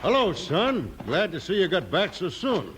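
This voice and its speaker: A man's voice: sits high, wildly swooping pitch, normal speed. An actor playing a friendly, hospitable rancher father with folksy talk in a 1950s radio western. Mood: welcoming. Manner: folksy, welcoming. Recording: noisy old 1950s radio recording.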